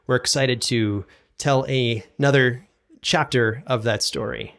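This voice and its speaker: A man's voice: mid-range voice, unhurried, gravelly, a bit bright. A man talking casually as an interview guest, an audio drama producer explaining his work.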